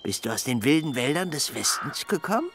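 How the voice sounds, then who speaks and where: An actor playing a timid, courteous, excitable male faun in a storybook audio drama, stammering and politely flustered. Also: on the high side, fairly quick, soft background music. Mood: courteous, delighted.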